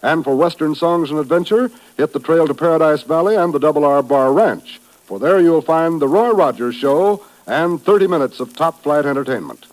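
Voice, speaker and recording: A man's voice: a little high, normal speed, few pauses, darkish tone, rough recording. A male announcer delivering formal, emphatic program introductions and promotions, in the style of 1950s network radio.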